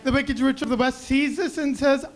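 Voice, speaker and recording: A young man with a high, raspy voice doing a self-deprecating deadpan stand-up set on a club stage mic. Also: normal speed. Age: young adult. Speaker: stand-up comedian. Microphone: handheld stage mic.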